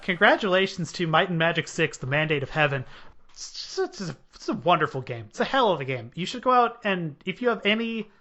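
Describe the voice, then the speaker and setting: A man's voice: high-pitched, sing-song, fairly quick, bright, forceful tone, faint music behind. A man hosting a casual podcast chat, rambling and joking with many hesitations. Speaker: podcast host. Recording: dull lo-fi podcast audio.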